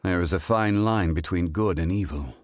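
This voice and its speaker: An actor playing a calm, ancient, weary male god in a video game, speaking in a measured, formal, grave way. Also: deep voice, dry recording, natural voice. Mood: grave, reflective.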